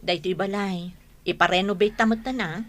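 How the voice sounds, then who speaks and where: A woman's voice: medium pitch, steady tone, a little fast, a bit bright, lightly acted. A woman playing a house-proud, evasive wife and mother in a radio drama, with a coaxing manner and an Ilocano accent.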